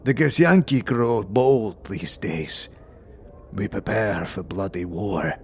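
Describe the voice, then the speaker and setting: A man's voice: lowish voice, slow. A man voicing a proud, scarred alien soldier who speaks in grave, formal, archaic phrases in a fantasy roleplay. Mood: grim, disdainful. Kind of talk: tabletop-style fantasy roleplay. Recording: faint background noise.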